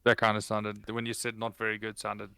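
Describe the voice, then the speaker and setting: Gravelly South African man, dry close mic. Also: on the low side, monotone, brisk, pushed and bright, no room sound. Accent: South African English. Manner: playful teasing, argues with a chuckle. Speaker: podcast co-host. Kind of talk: casual bantering chat.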